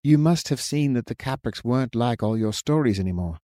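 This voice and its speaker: A man reading an audiobook, voicing an earnest young warrior son speaking gently to his father. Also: medium pitch, lively intonation, a little fast, no room sound, pitched above the performer's own voice, close to an everyday voice. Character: young male warrior returning home, earnest. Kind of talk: audiobook character voice.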